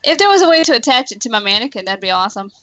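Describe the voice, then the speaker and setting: Higher-voiced woman chatting, dry muffled sound. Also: sing-song, racing, a bit bright. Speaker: livestream co-host. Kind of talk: casual livestream chat. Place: indoors, live stream.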